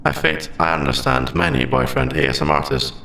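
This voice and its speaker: A man voicing a formal, logical android AI in an ASMR audio roleplay, with precise, stilted phrasing and gravelly endings to his phrases. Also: mid-range voice, steady tone, brisk, bright, forceful tone, a little hiss or hum. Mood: deadpan. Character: formal, logical male android AI, secretly sentient and earnest.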